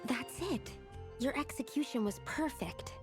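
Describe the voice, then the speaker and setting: A woman's voice: high-pitched, animated, a little slow, over music. A woman playing an earnest, hesitant young female researcher in a video game, speaking in a precise, tentative, scholarly way. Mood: analytical, calm.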